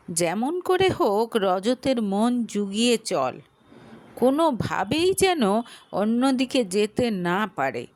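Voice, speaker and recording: A woman narrating a romantic audio story, reading slowly and expressively. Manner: slow, expressive reading with lively pitch.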